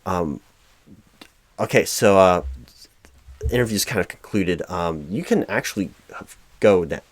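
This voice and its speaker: A man acts out a flustered corporate recruiting manager with a lowish voice. He is officious and monotonous, stammering and restarting as his frustration shows. The sound is dry and close, in the manner of a spoken audio roleplay. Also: a little slow, dry recording. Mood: apologetic, flustered. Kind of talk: one-sided ASMR roleplay, loud spoken.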